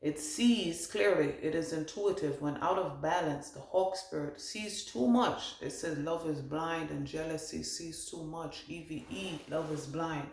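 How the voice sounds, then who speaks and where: A man's voice: a little high, a little slow, gravelly, hardly any pauses. A man working as a medium, giving a meandering channeled card reading and relaying what spirits tell him. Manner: meandering, thinks aloud, relays spirit messages. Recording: roomy echo.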